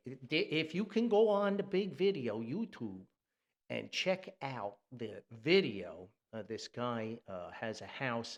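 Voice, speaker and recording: A man with a New Jersey accent, hosting a podcast and talking about music in a rambling, enthusiastic way, with fillers and chuckles. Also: mid-range voice. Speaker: podcast host and music critic. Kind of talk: casual, unscripted podcast commentary.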